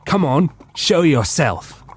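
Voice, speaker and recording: An actor playing a pompous, dim-witted, excitable detective in a blustering manner for a comic radio drama. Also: male voice, on the high side, slow pace, breathy edge, exaggerated voice. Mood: indignant. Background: music.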